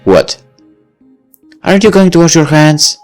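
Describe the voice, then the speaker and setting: A man's voice: fairly high pitch, big pitch swings, unhurried, faint music behind, pitched above the performer's own voice. A man voicing a cheerful, bossy young woman baker who teases and lectures about kitchen hygiene. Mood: stern. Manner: teasing, prim about hygiene.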